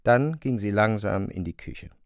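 A man's voice: lowish voice, a little slow, no room sound, studio quality. A man narrating a literary story from a script as the narrator of a radio drama.

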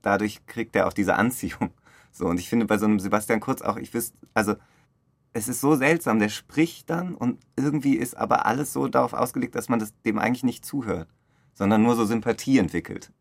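A man's voice: medium pitch, fairly quick, vocal fry, dry recording. A man in a casual, reflective interview, talking as a director in loose, informal phrasing. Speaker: radio play director interviewed.

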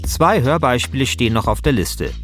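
A man's voice: mid-range voice, lively intonation, a little fast, fairly bright tone. Male podcast host, scripted narration, mid-range voice, wry and self-deprecating, light music bed.

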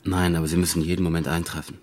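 An actor playing a compassionate, deferential police inspector, speaking in a subdued way in a radio crime drama. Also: male voice, low voice, little pitch movement, very fast, crowd noise, close to an everyday voice. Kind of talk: naturalistic German radio drama acting.

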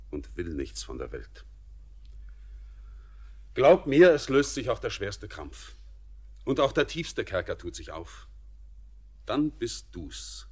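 An actor playing an earnest young former sailor turned miner in a classic radio play, speaking in a poetic, searching way that turns brusque. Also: male voice, sits low, heavily acted.

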